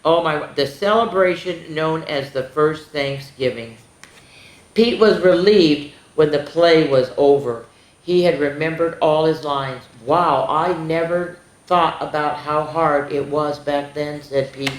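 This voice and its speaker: A woman's voice: deep voice, slow pace. A woman hosting a children's story time, reading aloud with enthusiasm and chatty asides, stumbling now and then. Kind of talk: animated read-aloud for young children. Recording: roomy, echoing room sound.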